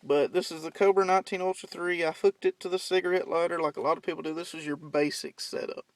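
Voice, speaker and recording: A man's voice: on the high side, steady tone, fast talker, no echo. A young man giving a casual, plain-spoken, unscripted how-to walkthrough, explaining things step by step. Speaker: CB radio hobbyist giving a demonstration. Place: in and around parked vehicles at home.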